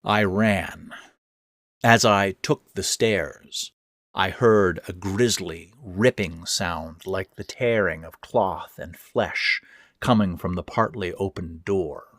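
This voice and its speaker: Guy reading scary first person fiction, lowish voice, takes it real slow, dramatic. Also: fairly bright tone, no room sound, clean studio sound. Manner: dramatic, suspenseful. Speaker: author and narrator. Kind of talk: scripted first-person horror narration.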